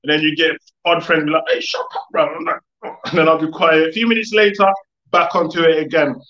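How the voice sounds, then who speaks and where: A man's voice: on the high side, steady tone, brisk, bright, forceful tone, a little hiss or hum. Young man on an echoey video call. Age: young adult. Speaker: guest, music producer. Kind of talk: casual interview storytelling. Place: living room, video call. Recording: echoey room, dull video-call audio.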